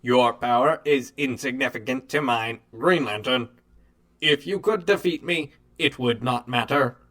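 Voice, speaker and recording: A cold android villain acted by a man in a superhero radio drama, with a mid-pitched voice. He speaks in formal, pompous phrases full of arrogant menace. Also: a little slow, a bit bright, natural voice. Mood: contemptuous. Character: cold, arrogant male villain android serving evil master.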